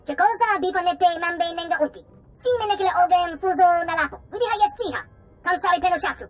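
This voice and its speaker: A woman's voice: very high voice, fast talker. A woman voicing a small, eager alien creature in a video game, chattering away in an invented tongue.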